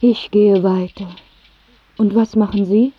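An actress playing Time personified as a calm, matter-of-fact woman who never stops walking, in a radio play. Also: mid-range voice, unhurried, slightly rough. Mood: terse, detached. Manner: matter-of-fact, terse, unyielding. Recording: muffled radio play, music underneath.

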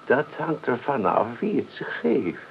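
Slow, creaky, deadpan bodyguard. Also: male voice, medium pitch. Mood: courteous. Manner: deadpan, politely blunt. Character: unhurried, matter-of-fact freelance bodyguard, eccentric and nosy. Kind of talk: radio play acting. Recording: tinny, noisy old radio recording.

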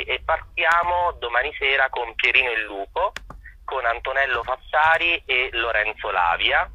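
A man's voice: medium pitch, sharp tone, husky, no echo. A man giving an informative interview as a guest over a dull telephone line. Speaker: interview guest, festival artistic consultant.

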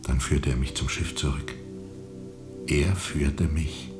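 A haunted steamer captain in a radio drama, with an extremely low voice. He recounts his dark journey broodingly, leaning on repeated words for dramatic weight. Music plays behind him. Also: fairly even intonation, slow pace. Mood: haunted, hushed. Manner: brooding, insistent, dramatic repetition. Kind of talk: radio play monologue.

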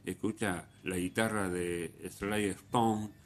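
A man hosting a radio music program, telling anecdotes in an unhurried, halting way with frequent restarts. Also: sits low, fairly even intonation, slight background noise. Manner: unhurried storyteller, pauses and restarts, swings in loudness. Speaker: radio host.

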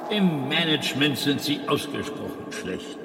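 Animated alien planet builder, echoey hall. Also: male voice, medium pitch, a little slow, creaky, a crowd in the background. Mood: exasperated. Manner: confiding, rambling, emphatic. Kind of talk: radio play acting. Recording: echoey hall, slightly distant.

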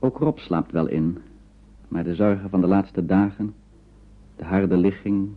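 An actor narrating a radio play as a grave storyteller, measured and literary. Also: male voice, low-pitched, steady tone. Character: grave male storyteller recounting strange dream scenes. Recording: tinny, noisy old radio play recording.

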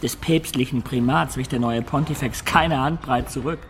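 A man plays a worldly medieval storyteller laying out courtly intrigues. He is emphatic and expressive at a medium pitch, in radio play style with a light ambience behind. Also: normal speed, slight background noise, lightly acted. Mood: animated, sly.

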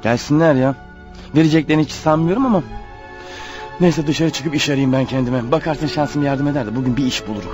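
An actor playing a proud but harried unemployed husband in radio theatre, defensive, pleading and exasperated. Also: male voice, fairly high pitch, fairly quick, close to an everyday voice. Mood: resigned. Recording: dull lo-fi radio play recording, faint music.

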